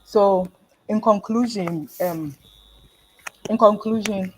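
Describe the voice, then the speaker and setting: A woman giving a prepared talk at an online event over a video call, measured and pausing on frequent fillers. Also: mid-range voice, a little hiss or hum, low-quality recording. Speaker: guest speaker.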